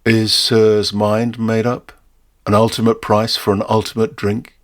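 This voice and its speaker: A man voicing an ominous, courteous barman in a short story, speaking slowly and formally with deliberate, portentous solemnity. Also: fairly low pitch, flat delivery, close to an everyday voice. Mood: grave, knowing.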